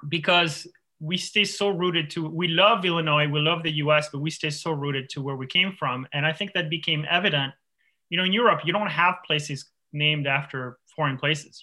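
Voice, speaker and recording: Bright-voiced man from France. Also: a little high. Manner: thoughtful, many restarts and fillers. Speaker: author, interview guest. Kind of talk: radio interview, reflective conversation.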